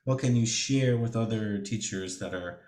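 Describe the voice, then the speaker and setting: A man co-hosting a teachers' podcast, casual and chatty with quick affirmations. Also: on the low side, a little mellow, a little hiss or hum. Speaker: podcast co-host, ESL teacher. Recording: roomy echo.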